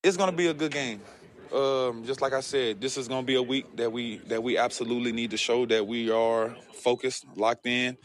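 Football guy answering questions, mid pitch, keeps saying like and you know. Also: fairly even intonation, moderate pace. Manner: rambling, many fillers and restarts. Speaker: football player. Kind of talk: casual interview answers.

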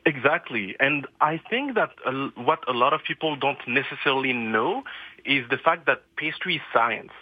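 A man's voice: mid-range voice, animated, normal speed, no echo. Man, dull lo-fi sound. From France. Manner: earnest, hesitant with restarts. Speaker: guest. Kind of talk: radio interview.

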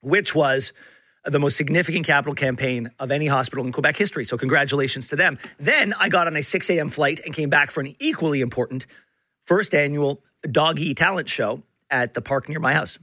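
A man hosting talk radio with a slightly hoarse, rough-edged voice, halting and restarting as he makes emphatic points at a close studio mic. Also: a little high, sharp tone, no echo. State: hoarse, rough voice from being unwell. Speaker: radio talk show host. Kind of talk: talk radio monologue and banter. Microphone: close studio broadcast mic.

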